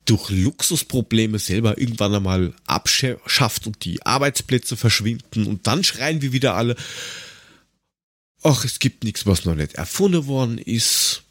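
A man's voice: lowish voice, animated, a little slow, fairly bright tone, no echo, studio quality. A man co-hosting a casual podcast, talking in a colloquial, rambling, slightly grumbling way. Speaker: podcast co-host.

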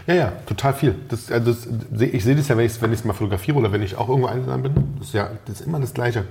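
Man, gravelly voice, fast speech, podcast co-host, quick interjections. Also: medium pitch, a little mellow. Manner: short agreeing interjections, finishes the other's sentences. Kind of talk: casual podcast chat.

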